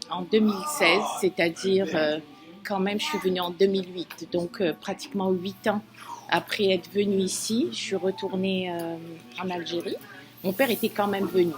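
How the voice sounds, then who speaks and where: A woman's voice: lowish voice, rough recording. Earnest woman in her fifties. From Algeria. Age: 50s. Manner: earnest, hesitant, many pauses and fillers. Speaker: interviewee. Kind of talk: casual interview answers.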